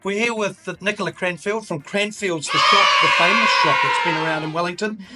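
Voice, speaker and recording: A man's voice: sits high, a little fast, over loud music. A man with a New Zealand accent hosting a live street interview show, joking and rambling through his questions. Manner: teasing, rambling, jokey. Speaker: host. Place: bar in a city street.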